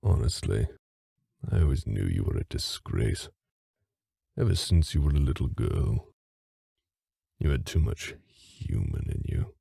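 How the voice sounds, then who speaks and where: A man's voice: very deep voice, big pitch swings, unhurried, creaky, pauses often, dark tone, husky, dry recording, studio quality, exaggerated voice. A man playing a haughty, aristocratic fae father in an audio drama, speaking formally and with cold condescension. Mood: haughty contempt. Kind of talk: dramatic audio roleplay acting.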